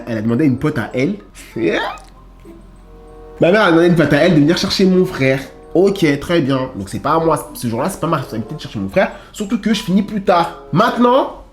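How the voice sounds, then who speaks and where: A young man casually telling a story to his sister, animated and full of slang, acting out the scenes. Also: sits high.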